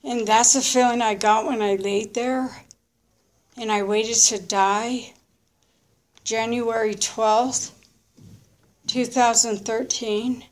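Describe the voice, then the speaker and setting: A woman in her early fifties giving a candid, unscripted personal testimony in short, halting, reflective phrases. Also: a little high, fairly even intonation. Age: early 50s. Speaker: recovering alcoholic sharing her story.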